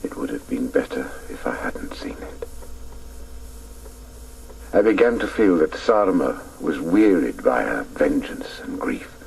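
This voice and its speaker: An actor narrating a radio play as a man recalling his boyhood, in a hushed, grave, reflective way. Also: rumbling low, vocal fry, breathy edge, exaggerated voice, rough recording.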